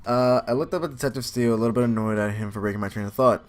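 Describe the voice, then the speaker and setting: A man's voice: mid-range voice, steady tone, very fast. A man doing casual let's play commentary, reading game narration aloud and adding informal, joking asides. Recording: faint game music behind.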